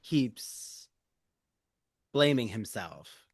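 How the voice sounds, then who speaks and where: A man's voice: lowish voice, very animated, very slow, slightly rough, no room sound, studio quality. A man hosting a podcast, chatting casually in a sympathetic, conversational way. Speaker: podcast host. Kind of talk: casual discussion.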